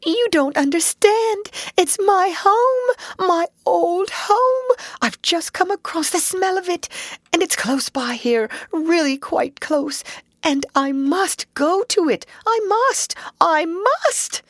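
A woman's voice: extremely high pitch, big pitch swings, takes it slowly, no echo, studio quality, pitched above the performer's own voice, heavily acted. A woman voicing an anxious, homesick young male mole who pleads in a tearful, breathless way. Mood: pleading.

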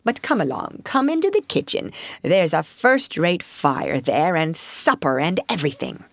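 A woman voicing a gruff old male badger from a storybook, grumbly but fatherly, fussing and exclaiming. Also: low-pitched, sing-song, normal speed, a bit bright, no echo, full character voice. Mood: paternal, kindly. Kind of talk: storybook character voice.

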